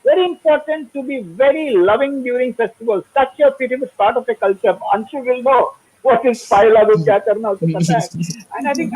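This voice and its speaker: A man runs a panel discussion in a high, husky voice. He gives advice and often repeats his phrases. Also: darkish tone, rough recording. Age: 60s. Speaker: host.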